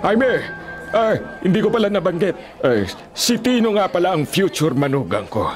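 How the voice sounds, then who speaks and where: A man's voice: high voice, sing-song, moderate pace, over music. A man in a radio drama playing a scheming, condescending older town mayor with a smug, falsely genial and pointed manner.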